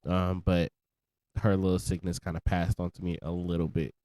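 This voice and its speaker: A young man with a slightly low, congested voice chats easily with a co-host, dropping casual slang as he goes. The sound is dry and close, with no room around it. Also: moderate pace, no echo. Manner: relaxed, laid-back slang. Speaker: podcast co-host. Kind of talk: casual chat.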